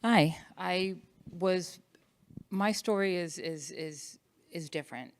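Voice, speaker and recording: A woman's voice: on the low side, slow, a little hiss or hum. A middle-aged woman telling her personal story as an alumni panelist in an emphatic, storytelling way. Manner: storytelling, emphatic, quotes herself.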